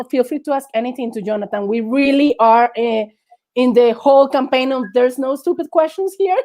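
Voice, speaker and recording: This is a young woman hosting an interview over a video call. Her voice sits high and sounds warm and soft. She talks in a loose, chatty way through an earphone mic in a small room. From Colombia. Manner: chatty and laughing, with many fillers. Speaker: host. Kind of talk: casual interview on a live stream.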